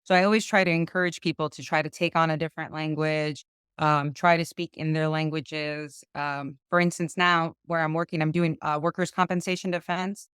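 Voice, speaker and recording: Smooth-voiced young woman, chatty interview guest. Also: sits low, flat delivery, normal speed, a bit bright, no room sound, clean studio sound. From Chicago, United States. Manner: chatty, full of "um" and "like" fillers. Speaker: guest, lawyer. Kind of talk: casual interview conversation.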